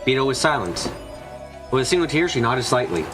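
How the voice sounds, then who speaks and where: A man's voice: mid-range voice, big pitch swings, a little fast. A man reading scripted story narration from a visual novel as its narrator. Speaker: narrator and reader of a visual novel let's play. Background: prominent background music.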